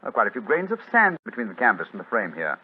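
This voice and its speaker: An actor playing an incisive English gentleman detective with a British accent and a crisp, authoritative manner, in 1940s radio style. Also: mid-range voice, huge pitch swings, fast talker. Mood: observant, musing. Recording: muffled old-time radio recording.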